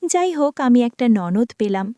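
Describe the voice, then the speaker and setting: A woman's voice: on the high side, expressive, moderate pace, dark tone, no room sound, clean studio sound. A woman narrating a first-person story in a confiding tone, talking to her listeners as friends.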